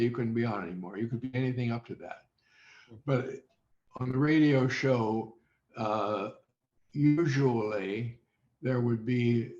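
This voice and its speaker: Slow-talking older man, echoey sound. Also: medium pitch, darkish tone. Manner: halting, deliberate. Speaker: interview guest, former child radio quiz contestant. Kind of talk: reminiscing in an interview. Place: remote video call. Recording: dull, echoey video-call audio.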